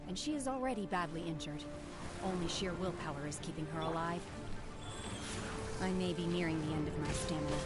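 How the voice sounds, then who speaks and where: A woman's voice: lowish voice, close to an everyday voice. An actress voicing an imperious, self-assured woman warrior with lofty commands in a video game. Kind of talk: dramatic video game voice acting. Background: loud game battle music.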